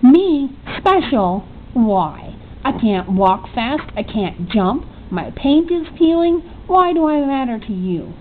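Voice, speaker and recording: A woman's voice: high-pitched, very animated, slow, hardly any pauses, full character voice. A woman voicing a timid, self-doubting little wooden puppet boy in a storybook reading. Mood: timid, pleading. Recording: tinny narrow-band audio, faint noise.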